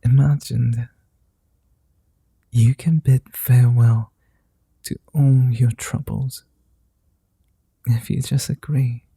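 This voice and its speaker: A man voicing a flirty, tender male vampire in an ASMR roleplay, speaking slowly, softly and formally, often in whispers, close to the mic. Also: mid-range voice, little pitch movement, vocal fry, slightly rough, dry recording, full character voice. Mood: tender whispering. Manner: slow, soft and often whispered; old-fashioned formal speech.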